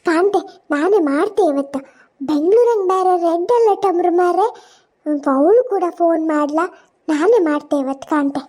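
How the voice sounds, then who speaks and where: Cartoon daughter, very high childlike voice, Kundapura Kannada accent, chatty, exclamatory, scolding. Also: animated, mellow. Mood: chatty, concerned. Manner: exclamatory, drawn-out questioning. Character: chatty village daughter phoning her mother, fussing and scolding. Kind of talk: cartoon comedy dialogue.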